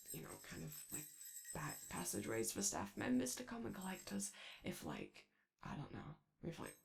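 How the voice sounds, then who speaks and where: An anxious, kind-hearted robot caretaker played by a woman rambles through a comforting ASMR roleplay. The voice swings up and down a lot and often drops into creaky fry, with hesitant stammers and nervous apologies. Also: on the low side, heavily acted. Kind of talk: ASMR character roleplay, soft conversational.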